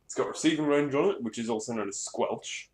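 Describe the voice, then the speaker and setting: A man's voice: mid-range voice, a little fast. A man giving a casual hands-on gear demonstration in a rambling, off-the-cuff way, with some swearing. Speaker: hobbyist presenter. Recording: echoey room, clear background noise, clicks.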